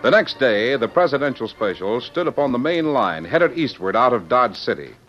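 A man's voice: medium pitch, very animated, moderate pace, natural voice. A man narrating an old-time radio western adventure in an emphatic, dramatic storytelling style. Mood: measured. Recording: muffled old radio recording, music behind.

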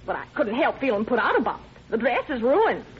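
A dull, faintly noisy old radio recording carries a plain-spoken small-town American woman. Her voice sits fairly high and swings widely. She sounds folksy and quick to take offence, in classic radio drama style. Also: very animated. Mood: cooperative, put out. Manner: folksy, indignant.

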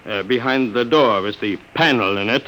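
An actor playing a nervous, secretive older professor, hesitant and formal, in an old radio drama. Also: male voice, mid-range voice, moderate pace, a bit bright, natural voice. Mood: earnest, uneasy. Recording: noisy, crackly vintage radio recording.

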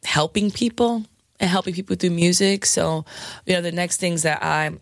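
Woman DJ, low hoarse voice, chatty and frank, dry close sound. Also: normal speed, no room sound. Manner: chatty, frank, laughs often, many fillers. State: scratchy, hoarse voice. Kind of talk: candid casual interview talk.

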